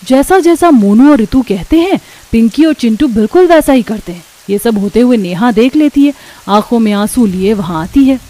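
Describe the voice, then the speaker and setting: A woman's voice: on the high side, animated, normal speed, mellow. A woman reading scripted narration for a cartoon story.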